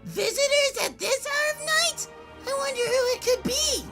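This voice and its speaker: A hammy male villain in a school play, overplaying every line for the audience, as a man puts him on. His voice sits very high with a gravelly crackle, and he sounds wary. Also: normal speed, music in the background. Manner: theatrical, wary.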